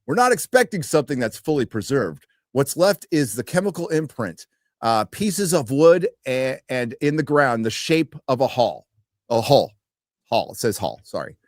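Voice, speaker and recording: A man co-hosting a casual podcast, reading articles aloud slowly and joking with the others. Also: medium pitch, sing-song, no echo, clean studio sound. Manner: reads aloud slowly, jokes and trails off. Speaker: co-host.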